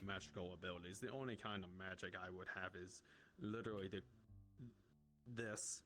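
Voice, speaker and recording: A low-pitched man improvises online fantasy roleplay as a courteous host. His phrasing is formal but halting, picked out piece by piece. Music plays clearly behind his voice. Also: steady tone, a bit bright. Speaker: roleplayer playing a guild host. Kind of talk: improvised in-character roleplay in online virtual reality.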